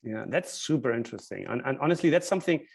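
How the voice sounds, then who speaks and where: A man with a German accent, a native speaker of German and Spanish, answering questions thoughtfully and haltingly, repeating words as he thinks. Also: mid-range voice, lively intonation, gravelly, slightly rough. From grew up with German and Spanish. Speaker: guest speaker, linguist.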